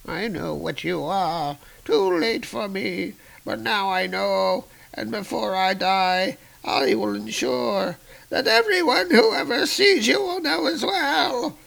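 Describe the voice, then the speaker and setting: Man voicing an old wizard, gravelly, high, earnest, pleading. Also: takes it slowly, a bit bright, heavily acted, low-quality recording. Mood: grim.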